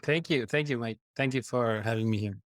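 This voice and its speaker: A man's voice: mid-range voice, brisk, no echo, clean studio sound. A man in his forties from Nepal, a company founder, giving earnest, explanatory interview answers with some fillers and restarts.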